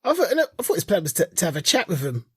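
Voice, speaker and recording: Husky man bantering on a podcast. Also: high voice, huge pitch swings, fast, darkish tone. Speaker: podcast co-host. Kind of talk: casual geek news chat.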